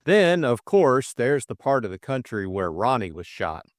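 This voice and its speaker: A man voicing a breezy, reasoning young gentleman sleuth in an audiobook. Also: medium pitch, sing-song, normal speed, no echo, studio quality, lightly acted. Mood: musing. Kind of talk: audiobook character dialogue.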